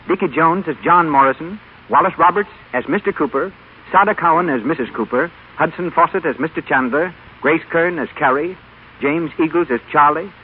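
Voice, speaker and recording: A man's voice: a little high, breathy edge. A man working as a radio announcer, reading formal scripted credits in a 1930s style. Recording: tinny, noisy 1930s radio broadcast.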